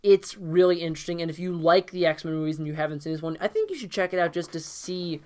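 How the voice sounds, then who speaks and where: A man's voice: a little high, fast talker, darkish tone. A man co-hosting a casual podcast, wry and jokey, steering the talk between segments. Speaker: podcast co-host. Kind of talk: casual chat.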